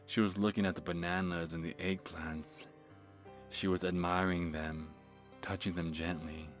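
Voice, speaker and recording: Man performing an obsessive male stalker, low-pitched, nervous halting delivery, creepy infatuation, ASMR horror storytelling, background music. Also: flat delivery, close to an everyday voice. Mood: infatuated, dreamy. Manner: halting, stammering, breathy sighs and self-corrections. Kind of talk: first-person horror story in ASMR manner.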